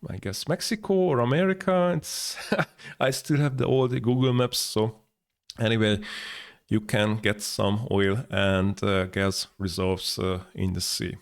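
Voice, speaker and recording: A man teaches from a map in a lowish voice. He speaks in halting bursts, filling pauses with "uh" and correcting himself as he goes. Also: fairly even intonation, clear, resonant tone. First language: Hungarian. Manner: halting, many fillers and self-corrections. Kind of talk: explaining over a map.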